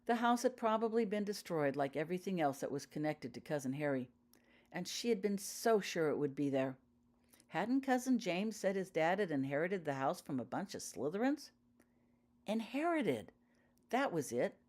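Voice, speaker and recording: A woman's voice: on the low side, very animated, normal speed, darkish tone. A woman reading her own fiction aloud as audiobook narration, telling the story in character-focused prose.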